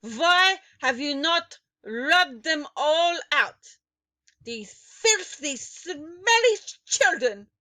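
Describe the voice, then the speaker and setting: A woman's voice: sits high, big pitch swings, drawn-out words, sharp tone, dry recording, pitched above the performer's own voice, full character voice. A woman voicing a furious, ancient female witch queen who screams and spits with rage. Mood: screaming rage. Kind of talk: audiobook character voice.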